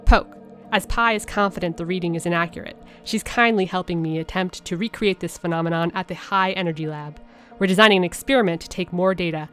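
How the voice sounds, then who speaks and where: A woman's voice: fairly low pitch, fast talker, fairly bright tone, soft background music, lightly acted. A woman voicing curious alien scientists from a game, reading their written messages aloud in an earnest, conversational way.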